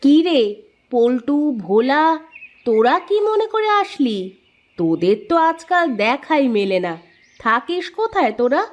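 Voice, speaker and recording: A woman's voice: high-pitched, big pitch swings, measured pace. A woman voicing a kindly, teasing middle-aged village aunt in a cartoon. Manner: warm, teasing, motherly. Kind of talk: cartoon voice acting.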